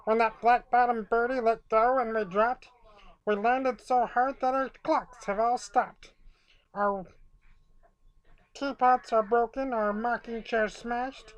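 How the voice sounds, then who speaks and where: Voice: medium pitch, fairly even intonation, slow, pitched above the performer's own voice, lightly acted. A storyteller voicing a tiny, harried, complaining mayor from a storybook, in muffled sound. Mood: distressed.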